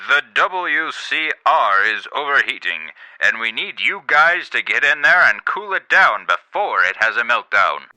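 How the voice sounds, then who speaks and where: Man playing a bright, forceful, pompous president. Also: mid-range voice, a little slow, hardly any pauses, no echo, full character voice, poor audio. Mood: grave, official. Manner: pompous, deadpan. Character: pompous, stern president giving a secret mission, dryly insulting. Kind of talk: comedy radio play acting.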